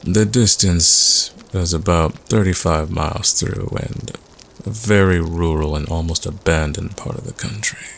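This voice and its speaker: Actor as laconic guy telling a campfire horror story, real low slow voice, understated, faint noise behind. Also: vocal fry. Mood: measured, ominous. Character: laconic man called Wolf telling an eerie tale. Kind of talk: audio roleplay campfire storytelling.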